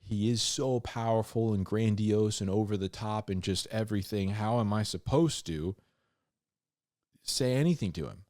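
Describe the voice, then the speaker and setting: A man hosting a Bible reading, mixing scripture with informal, slangy commentary and animated asides. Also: fairly low pitch, moderate pace, no room sound, clean studio sound. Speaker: host of a Bible reading show.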